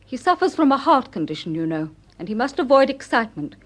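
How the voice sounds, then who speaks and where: Guarded youngish niece, played by an actress: fairly high, very varied intonation, polite yet firm, audio drama. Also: female voice, very animated, quick pace. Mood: polite, cautioning. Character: youngish, guarded, protective niece of an ailing colonel. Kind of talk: dramatic radio acting.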